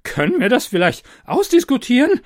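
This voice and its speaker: A man voicing a stout, sensible male royal food taster in a storybook reading, in a high, pleading put-on voice. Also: huge pitch swings, normal speed, dry recording, clean studio sound, full character voice.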